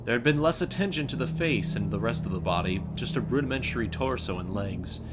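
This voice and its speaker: A man's voice: mid-range voice, animated, brisk, very noisy. A man reading scripted story narration as the narrator of an audio drama.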